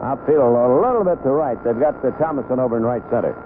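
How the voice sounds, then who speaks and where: A man's voice: medium pitch, expressive, fast talker. Tinny vintage radio baseball announcer, man. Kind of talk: live radio sports commentary. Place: outdoor ballpark broadcast booth. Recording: tinny, noisy old AM radio broadcast.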